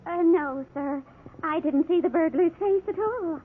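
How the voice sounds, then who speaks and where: An actress playing a hesitant, deferential shopkeeper witness in a 1950s radio drama. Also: female voice, very high-pitched, a little slow. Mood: timid. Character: timid female burglary witness, a shopkeeper. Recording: tinny 1950s radio recording.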